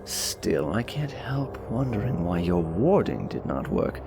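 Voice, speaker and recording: Narrator acting out urbane male lord, sardonic, erudite, lively intonation, slightly low, audiobook. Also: gravelly, over music, heavily acted. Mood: musing, sardonic. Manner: measured, dry, erudite. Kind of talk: audiobook character voice.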